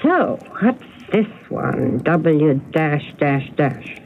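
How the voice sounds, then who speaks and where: Sweet, flustered, slow-talking woman. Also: deep voice, big pitch swings, heavily acted. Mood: nostalgic, delighted. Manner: hesitant, exclaiming, wistful. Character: sweet, flustered, nostalgic woman recalling childhood. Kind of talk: radio mystery drama acting. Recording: narrow-band, noisy radio drama audio.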